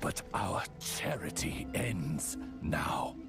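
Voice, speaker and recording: A zealous rebel leader in a fantasy game. His delivery is slow, formal and impassioned, swinging widely in pitch with a gravelly creak. Music plays underneath. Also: animated, heavily acted. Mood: stern. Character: defiant male rebel leader, zealous and righteous. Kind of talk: dramatic game voice acting.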